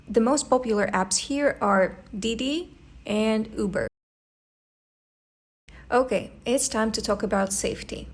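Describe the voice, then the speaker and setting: Young Eastern European woman vlogging. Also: mid-range voice. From post-Soviet country. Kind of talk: scripted voice-over narration.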